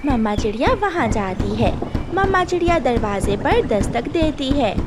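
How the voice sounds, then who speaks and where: A woman's voice: high voice, lively intonation, normal speed, very noisy. A woman giving scripted storybook narration for a children's cartoon.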